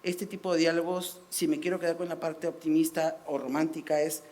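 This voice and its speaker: A woman's voice: sits low, little pitch movement, fairly quick, a little mellow. A middle-aged woman from Latin America speaking on a panel, telling personal stories with fillers and "¿no?" tag questions. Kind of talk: panel discussion.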